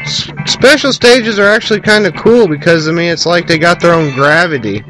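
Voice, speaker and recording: A man's voice: a little high, animated, normal speed, over music, low-quality recording. A man giving casual, rambling gameplay commentary with crude language, recorded indoors in a small trailer on a camera aimed at the screen. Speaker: gamer, video host.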